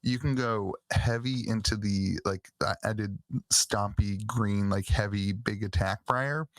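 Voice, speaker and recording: A man co-hosting a casual podcast, his throat a little hoarse and raspy, talking in halting bursts with lots of restarts. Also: lowish voice, no echo. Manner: halting, many restarts and fillers, enthusiastic. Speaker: podcast co-host. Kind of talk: casual chat about a card game.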